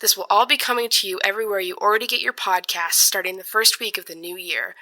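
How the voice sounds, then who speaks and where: A woman's voice: mid-range voice, fast, sharp tone, rough recording. A young woman giving a chatty, scripted promotional read for a podcast.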